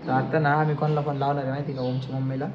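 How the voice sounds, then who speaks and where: A man's voice: mid-range voice, level tone, rapid-fire. A young man with a Maharashtrian accent chatting casually and playfully with friends as a slangy prank vlogger. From Maharashtra, India. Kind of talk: casual vlog chat with friends. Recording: muffled audio with clear background noise.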